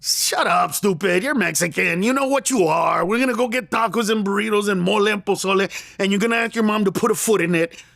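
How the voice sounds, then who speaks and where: A man voicing his pushy teenage friends, who loudly and roughly tease him for being Mexican. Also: high voice, a little fast, hardly any pauses, no echo, clean studio sound, pitched above the performer's own voice, full character voice. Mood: mocking.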